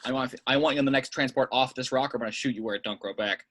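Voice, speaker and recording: Man playing a bright-voiced, blunt veteran secret agent. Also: medium pitch, rapid-fire, slight background noise, lightly acted. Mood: angry, commanding. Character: gruff, blunt veteran male secret agent, coolly threatening. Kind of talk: amateur at-home table read of a film script.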